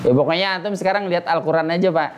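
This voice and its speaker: A man's voice: a little high, rapid-fire, muffled recording, background noise. A man teaching a tajwid lesson informally and patiently, explaining, reciting short examples and checking with his listeners. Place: small study gathering in a private home.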